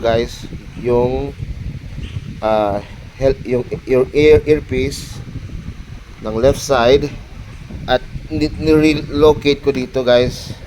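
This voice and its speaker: Slow-talking Filipino man vlogging with background noise. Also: medium pitch, darkish tone, poor audio. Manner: halting, code-switching between Tagalog and English. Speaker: motovlogger. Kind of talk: casual product unboxing vlog.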